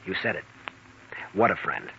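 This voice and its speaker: An actor playing a bitter, brooding young hospital intern in a 1940s radio drama, on a muffled, hissy old recording. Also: male voice, sits low, animated, moderate pace, gravelly, pushed and bright, exaggerated voice. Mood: brooding, guarded. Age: young adult.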